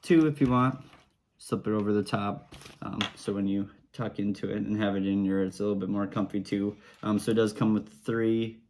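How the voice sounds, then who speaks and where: Low-voiced man casually demonstrating a product. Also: fairly even intonation, moderate pace, darkish tone, slight background noise. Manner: informal, easygoing explaining in simple steps. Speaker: product reviewer.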